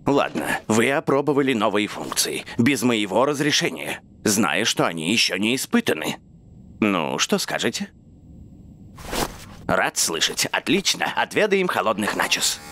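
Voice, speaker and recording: A man's voice: on the low side, sing-song, a little slow, sharp tone, a little hiss or hum, exaggerated voice. A man voicing a stern but caring middle-aged inventor father in a cartoon dub, firm and lecturing.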